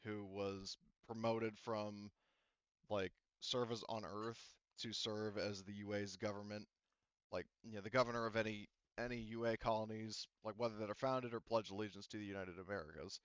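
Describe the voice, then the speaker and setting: A man running a tabletop roleplaying game as game master, speaking casually and haltingly as he improvises details. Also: lowish voice, fairly even intonation, clear, resonant tone, no echo, muffled recording. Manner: halting, hesitant delivery as he improvises.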